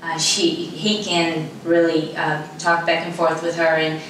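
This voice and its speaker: A woman's voice: deep voice, flat delivery, measured pace, a bit bright. Brazilian-accented woman in an echoey room. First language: Portuguese. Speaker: insurance agent. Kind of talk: conversational interview. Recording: echoey room, distant mic, clear background noise.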